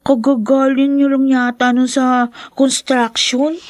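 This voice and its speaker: High-voiced protective Filipino schoolgirl friend. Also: level tone, slow pace, no echo. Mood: cautioning, insistent. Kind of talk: Tagalog radio drama acting.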